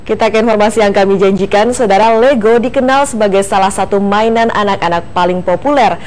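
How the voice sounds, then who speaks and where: A woman's voice: mid-range voice, a little fast, a little mellow. A woman presenting the news from the studio as anchor, formal in delivery.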